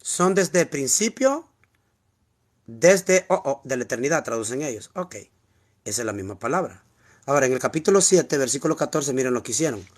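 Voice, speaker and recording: A man's voice: medium pitch, animated, fairly quick. Honduran man explaining scripture. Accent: Honduran Spanish. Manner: explanatory, repeats key words, asks rhetorical questions. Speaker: preacher teaching scripture. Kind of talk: informal Bible teaching, screen walkthrough.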